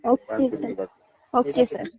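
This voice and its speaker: A woman's voice: fairly high pitch, very slow, vocal fry, warm tone. A woman customer care agent on a phone call, polite and businesslike. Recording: muffled phone call recording.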